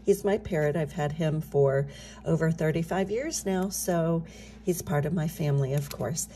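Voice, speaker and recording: A middle-aged woman talking softly while filling cash envelopes at her home desk, her voice a bit scratchy from just waking up. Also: deep voice, normal speed. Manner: soft-spoken, talking softly. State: slightly scratchy morning voice. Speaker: budgeting channel host. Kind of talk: casual chat while stuffing cash envelopes.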